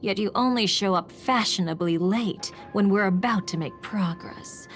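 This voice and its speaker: A woman's voice: mid-range voice, faint music behind, close to an everyday voice. A woman playing a sly, teasing memory manipulator in a video game, with languid, mocking delivery. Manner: languid, mocking, drawn-out taunts.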